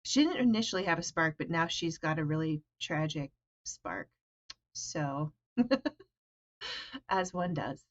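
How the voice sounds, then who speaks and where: A woman's voice: sits low, very animated, slow pace, pauses often, dull audio. Rambling woman, lots of "like". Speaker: guest player. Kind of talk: casual interview answers.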